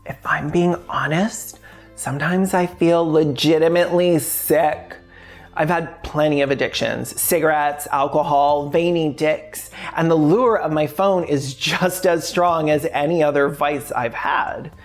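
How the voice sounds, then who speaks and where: A woman's voice: deep voice, measured pace, soft background music. A woman hosting a video essay in a frank, sardonic way, mixing scripted points with casual, sweary asides.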